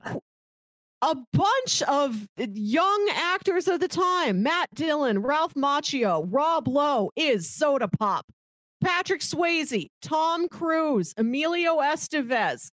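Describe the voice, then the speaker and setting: A woman's voice: high-pitched, expressive, takes it slowly, a bit bright, no echo. A woman co-hosting a podcast, chatting casually about movies. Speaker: podcast co-host. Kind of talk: casual movie discussion with some read-aloud synopsis.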